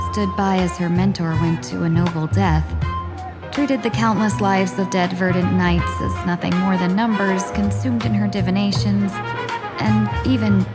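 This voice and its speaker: A woman voicing a composed, sly fox-woman diplomat for a video game, speaking in a measured, polite and coolly self-possessed way. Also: medium pitch, close to an everyday voice. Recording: roomy echo under clear background music.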